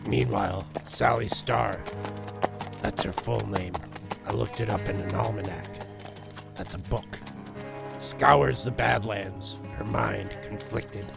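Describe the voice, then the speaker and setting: An actor voices a droll cowboy-tale storyteller, a man with a low, heavily gravelled voice. He takes his time and drops wry asides in a deadpan way, with music playing behind him. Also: takes it slowly, full character voice. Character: droll deadpan male narrator of a Western yarn. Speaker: narrator. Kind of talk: tongue-in-cheek Western storybook narration.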